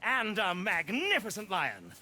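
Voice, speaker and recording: A man's voice: high-pitched, wildly swooping pitch, a little slow, sharp tone, a little hiss or hum, heavily acted. A man playing a flamboyant, pompous British stage showman in a video game, melodramatic and florid in his speech. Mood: grandiose. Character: flamboyant, theatrical showman in woman's stage costume, desperate conman. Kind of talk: video game cutscene acting.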